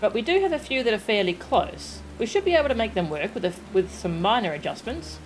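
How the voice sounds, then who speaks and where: A woman's voice: mid-range voice, expressive, a little fast, faint music behind, close to an everyday voice, rough recording. A woman voicing an obliging, deferential tailor in a video game, polite and accommodating. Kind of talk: video game dialogue read aloud.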